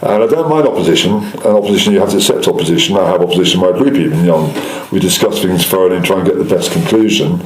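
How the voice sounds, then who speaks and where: Fast, deep-voiced male councillor, echoey room. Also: lively intonation. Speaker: local councillor. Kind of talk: political interview answers.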